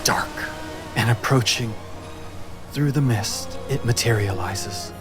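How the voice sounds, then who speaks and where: A man's voice: medium pitch, slow pace. A man narrating a fantasy tabletop game dramatically as its game master. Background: music.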